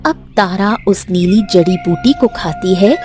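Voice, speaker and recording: Slow, mellow female storyteller. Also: medium pitch, expressive, soft background music. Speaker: narrator. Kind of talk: scripted story narration.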